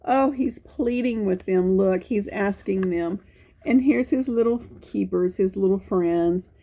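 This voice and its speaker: A woman's voice: medium pitch, a little slow. A woman hosting a children's storytime, reading a picture book aloud with chatty, exclaiming asides and repeated phrases. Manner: animated, exclaiming, repeats phrases to young listeners.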